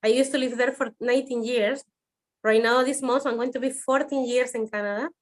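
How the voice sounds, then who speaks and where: Guest, woman, forties, Spanish accent, nervous and halting, on a video call with music underneath. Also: on the high side, fairly even intonation. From Venezuela, raised in Madrid. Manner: hesitant, many fillers and restarts, a bit nervous. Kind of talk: online interview answer. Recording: music in the background.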